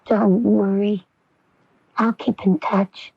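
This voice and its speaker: A woman's voice: mid-range voice, little pitch movement, slow pace, vocal fry. A woman playing an earnest, lovelorn young woman in a 1980s radio drama, intense, vulnerable and pleading. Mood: reluctant, reassuring. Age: young adult. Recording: dull lo-fi 1980s AM radio recording.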